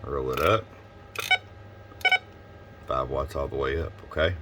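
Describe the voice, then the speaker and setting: Very deep-voiced man demonstrating gear. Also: a little slow, very noisy. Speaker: radio seller presenting a product demo. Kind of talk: casual product review and demonstration.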